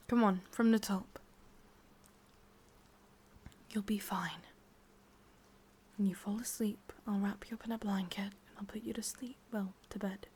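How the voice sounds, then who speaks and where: A woman with an English accent playing a sadistic, possessive witch in a whispered, breathy ASMR roleplay, commanding yet doting, with deliberate, intimate delivery. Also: medium pitch, steady tone, warm tone, a little hiss or hum, natural voice. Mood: coaxing, reassuring.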